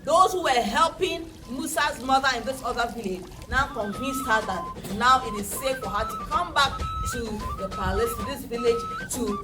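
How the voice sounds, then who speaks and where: A woman with a Nigerian accent telling a folktale to children in an animated, dramatic, interactive way. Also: high-pitched, moderate pace, hardly any pauses. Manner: animated, dramatic, asks listeners questions. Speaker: children's storyteller and educator. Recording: distant mic, loud background music.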